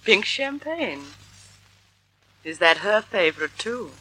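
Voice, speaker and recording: A woman's voice: mid-range voice, very animated, slow, pushed and bright, slightly rough, heavily acted. An actress in a 1940s-style radio drama playing a vain, glamorous, cold woman with a silky, imperious, theatrical manner. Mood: silky, menacing. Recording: noisy old radio recording.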